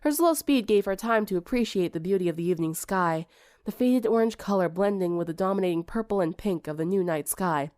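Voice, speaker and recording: A woman's voice: medium pitch, a little fast, darkish tone, no echo, studio quality. A woman reading scripted fiction as an audiobook narrator.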